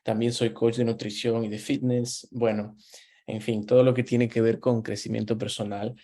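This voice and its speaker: A man in his thirties from Ecuador with an Ecuadorian Spanish accent, hosting a relaxed conversational interview and talking with easy pauses and restarts. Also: medium pitch. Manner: relaxed, talks with frequent fillers and restarts. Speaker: host.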